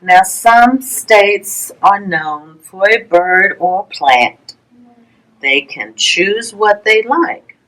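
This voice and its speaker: A woman reading a rhyming children's picture book aloud, with a measured sing-song delivery and pauses between phrases. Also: mid-range voice, expressive, a bit bright, low-quality recording. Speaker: library storytime reader.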